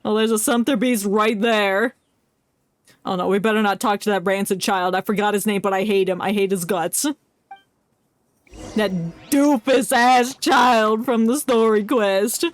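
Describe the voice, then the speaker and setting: A woman's voice: medium pitch, fairly even intonation, measured pace, no echo. A woman game streamer giving casual, joking, irreverent live commentary. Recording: faint game music behind. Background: video game music.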